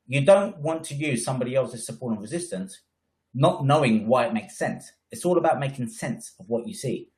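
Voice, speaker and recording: A man with a head cold, presenting a trading webinar in an emphatic, coaching way, often tagging lines with "right?". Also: medium pitch. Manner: emphatic, frequent "right?" tags. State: congested with a cold. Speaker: trading educator.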